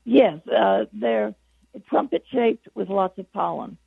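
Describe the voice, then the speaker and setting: A hoarse woman, her voice going, chats in a rambling and self-deprecating way as a gardening guest. Her voice comes through gravelly and husky. The call sounds dry and tinny, like a phone line. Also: medium pitch, very animated, takes it slowly, no echo. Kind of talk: casual call-in chat.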